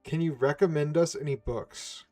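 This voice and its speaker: A man co-hosting a casual coding stream, asking questions and joking in a relaxed way. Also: fairly high pitch, moderate pace, soft background music.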